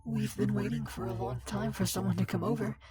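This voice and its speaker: A man's voice: high voice, fairly even intonation, a little fast, a loud crowd, pitched above the performer's own voice, close to an everyday voice. A man voicing a possessed teenage boy turned monster, with an echoing, distorted and playful voice. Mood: sinister playful.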